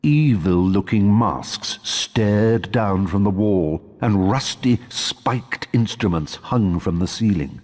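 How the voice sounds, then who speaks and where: A man narrates a story in a husky, unhurried voice. His pitch sits on the low side but moves with lively expression, delivered as scripted storybook reading. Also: big-room sound.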